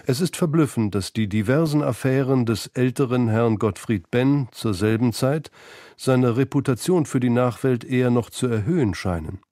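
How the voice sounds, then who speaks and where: Mellow male documentary narrator. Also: medium pitch, no echo, clean studio sound. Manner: measured, literate, sober delivery. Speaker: narrator of a radio feature.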